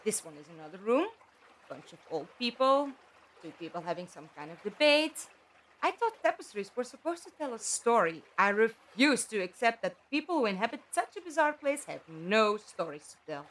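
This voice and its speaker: A woman's voice: a little high, sing-song, normal speed, fairly bright tone, slight background noise, poor audio. Woman playing a lilting, curious explorer. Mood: inquisitive. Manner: chatty, inquisitive self-talk. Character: curious, playful female explorer musing to herself. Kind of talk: audio drama acting.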